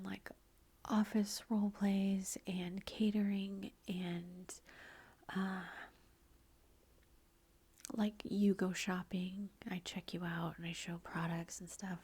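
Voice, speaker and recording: A woman whispering in an ASMR question-and-answer chat, rambling casually with fillers and soft laughs, close to the mic. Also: medium pitch, husky. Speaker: ASMR channel host.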